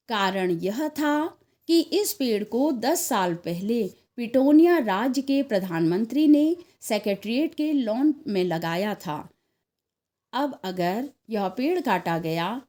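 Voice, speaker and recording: A woman narrating a scripted story as an expressive storyteller. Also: mid-range voice.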